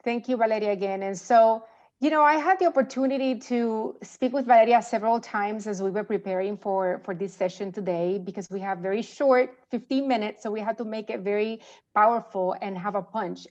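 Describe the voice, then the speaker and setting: A woman's voice: mid-range voice, dull audio. A woman hosting a fireside chat, giving prepared introductions and putting questions to her guest. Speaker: fireside chat host, corporate marketing consultant.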